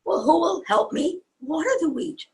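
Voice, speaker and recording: A woman voicing a hardworking little hen in a children's story, bright and asking for help. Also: high-pitched, expressive, moderate pace, far from the mic, voice pushed up. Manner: bright, asking, self-reliant.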